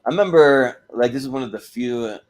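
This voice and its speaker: A man hosting a casual panel chat in a rambling, halting way, often repeating words. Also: medium pitch, darkish tone. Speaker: host of a panel show.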